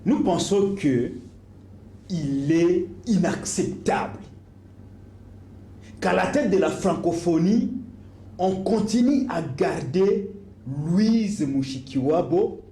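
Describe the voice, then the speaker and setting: A man's voice: high voice, animated, slow, pauses often, mellow, reverberant. A man, a guest in a political interview, stating demands insistently and repeating his phrases. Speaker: activist guest.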